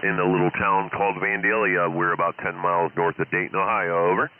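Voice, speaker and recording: A middle-aged American man talks casually over ham radio in a low voice. The transmission is phone-like and narrow, with a light hiss behind it. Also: little pitch movement, fairly quick. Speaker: amateur radio operator calling in.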